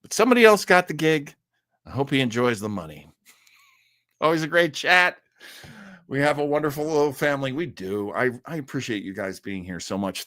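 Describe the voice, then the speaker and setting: A man's voice: mid-range voice, fairly bright tone, no echo, studio quality. A man hosting a live online show, chatting casually and reading out comments in a rambling, jokey way with frequent laughter. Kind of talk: casual livestream chat reading viewer comments.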